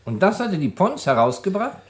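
An actor playing a skeptical prosecutor who questions a suspect in an old radio play, probing and ironic. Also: male voice, sits high, sing-song, normal speed. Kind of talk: 1950s radio drama acting.

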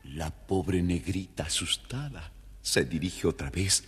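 A man narrating a scripted children's story in a theatrical, expressive way. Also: deep voice, slow pace, big-room sound.

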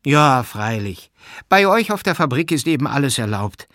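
A man's voice: mid-range voice, fairly even intonation, normal speed, pushed and bright, breathy edge, no echo, clean studio sound, pitched above the performer's own voice. A man voicing a spiteful, strict and devout woman with a scornful, sarcastic manner in an audiobook. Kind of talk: audiobook character acting.

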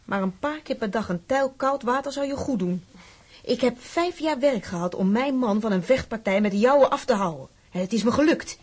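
An actress playing a feisty, proud working-class wife in a radio drama, fiercely loyal, blunt and sardonic. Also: female voice, on the high side, animated, moderate pace, slightly rough. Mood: firm, earnest.

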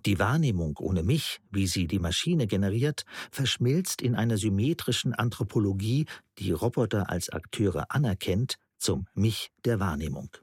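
A man's voice: lowish voice, expressive, normal speed, hardly any pauses, darkish tone, husky, no echo, clean studio sound. An actor playing an earnest male theorist who lectures precisely and didactically in a German radio play. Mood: earnest, didactic.

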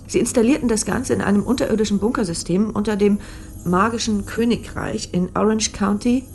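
A woman playing a brisk, methodical investigator in a science-fiction radio drama, laying out facts dryly. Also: mid-range voice, a little mellow, faint music behind, lightly acted. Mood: matter-of-fact, dry. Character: brisk adult woman investigator piecing together a conspiracy.

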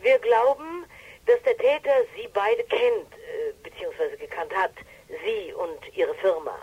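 A woman's voice: medium pitch, unhurried, a little mellow, breathy edge, muffled recording. An actress playing an evasive female police inspector in a German radio drama, official and hesitant in manner. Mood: guarded.

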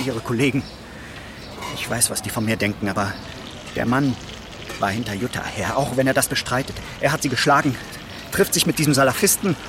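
A man's voice: medium pitch, fairly quick, background noise, natural voice. An actor playing an aggrieved, suspicious amateur investigator, insistent and resentful in his talk.

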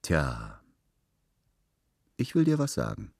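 Casting: male narrator as big swaggering young man, cocky and curt, low voice, dry close sound. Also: wildly swooping pitch, slow, gravelly, a little mellow, slightly rough, dry recording, full character voice.

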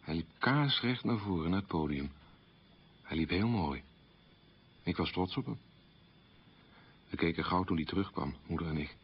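A man playing someone who looks back on a wartime childhood in measured, plain sentences, as in a radio monologue. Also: sits low, animated, lo-fi sound, slight background noise. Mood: wistful recollection. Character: man recalling his childhood in a wartime collaborator family. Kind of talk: radio documentary-drama monologue, reminiscing.

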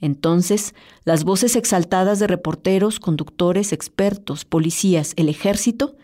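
A woman's voice: lowish voice, measured pace, dark tone. A woman narrating a scripted personal essay as a radio presenter. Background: music.

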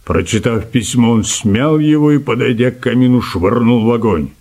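A man's voice: mid-range voice, normal speed, darkish tone. A man reading scripted story narration in a radio play. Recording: radio play with music underneath.

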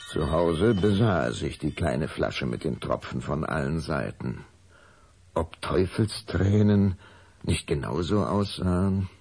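A man narrates an audio drama from a script. His voice is deep and husky, with lively movement in pitch as he tells the story.

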